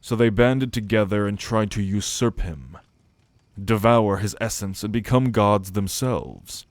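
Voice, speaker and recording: Guy doing a deep slow ancient god's son, haughty and brooding, fantasy roleplay, really dry close sound. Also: dry recording, lightly acted. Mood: solemn, bitter. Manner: formal, solemn, disdainful storytelling. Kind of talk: fantasy audio roleplay acting.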